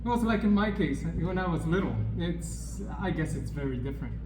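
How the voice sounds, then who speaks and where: Halting Salvadoran-accented man. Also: a little high, expressive, noisy background. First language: Spanish. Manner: halting, many fillers and restarts, chuckling. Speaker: co-host. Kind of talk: casual chat. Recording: echoey room with music behind.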